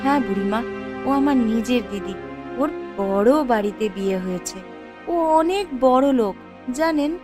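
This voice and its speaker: A humble, kind-hearted village sister, voiced by a woman in a cartoon folk tale. She speaks in a high, lilting, gentle and respectful way over light music. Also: expressive, moderate pace, lightly acted. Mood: gentle, puzzled. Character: kind, humble younger sister living in a hut. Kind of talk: Bengali moral-story cartoon acting.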